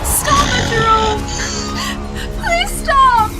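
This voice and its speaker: A man's voice: very high voice, expressive, drawn-out words, pushed and bright, soft background music, voice pushed up, exaggerated voice, low-quality recording. A man voicing a desperate, pleading young woman in a dramatic audiobook story. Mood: crying, pleading.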